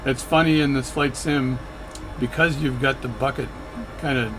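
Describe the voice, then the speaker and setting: A man's voice: mid-range voice, steady tone, moderate pace, background noise. A man narrating his flight sim session in a chatty, rambling way, often correcting himself mid-sentence. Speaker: flight sim video creator. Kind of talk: casual narration while playing a flight sim.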